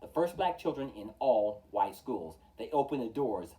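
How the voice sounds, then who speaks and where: Animated man reading aloud, slightly distant. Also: mid-range voice. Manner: theatrical, measured delivery with emphatic openings and falling line endings. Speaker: storytime host reading aloud. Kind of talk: expressive children's picture-book read-aloud in rhyme.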